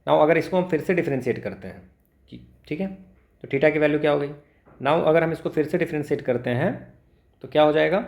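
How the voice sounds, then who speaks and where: Slow-talking Indian male teacher, echoey room. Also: fairly high pitch, creaky, a little mellow. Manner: mixes Hindi and English, frequent check-in tags. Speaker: maths teacher. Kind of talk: informal exam lesson, explaining worked equations step by step. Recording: echoey, roomy sound.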